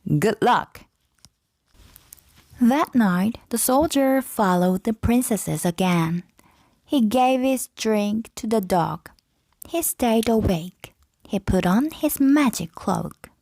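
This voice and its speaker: A woman narrating a children's fairy tale aloud from a script, with deliberate, clearly phrased delivery. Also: a little high, dark tone, no room sound. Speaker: storybook narrator.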